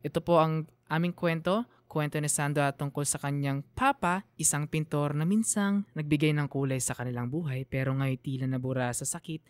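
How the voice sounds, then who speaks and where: A woman's voice: sits low, steady tone, moderate pace, dry recording. A woman radio storyteller reading a scripted, sentimental narration. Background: piano music under the voice.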